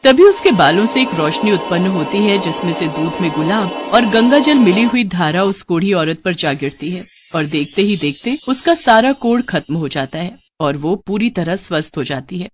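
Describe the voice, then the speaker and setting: A woman's voice: on the low side, lively intonation, moderate pace, few pauses, music in the background. A woman storyteller reading a scripted fairy-tale narration.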